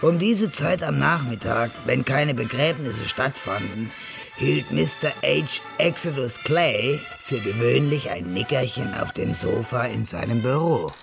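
A wry storyteller in a hard-boiled radio play. His mid-range voice has a creaky, gravelly grain and delivers scripted narration. Music plays clearly behind him. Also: medium pitch, animated, a little slow. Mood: matter-of-fact. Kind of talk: scripted narration in German radio crime drama.

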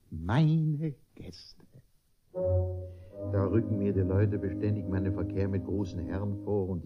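An actor playing a vain, gullible bourgeois host who fawns on nobles in a theatrical radio comedy. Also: male voice, on the low side. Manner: gushing, theatrical, deferential. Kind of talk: classic German radio play comedy acting. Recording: dull vintage radio recording with music.

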